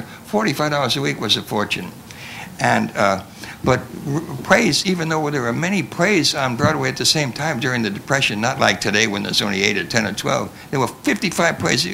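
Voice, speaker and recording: Very gravelly, bright-voiced older man. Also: mid-range voice, poor audio. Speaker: veteran radio actor sharing memories. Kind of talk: anecdotal reminiscence to an audience. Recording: live panel with faint noise.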